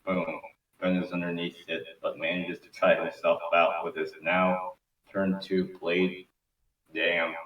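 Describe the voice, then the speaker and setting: A man's voice: sits low, little pitch movement, unhurried, dull audio. A man narrating a script at a casual table read, informal and sweary, stumbling over words. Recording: echoey room, distant mic, background noise.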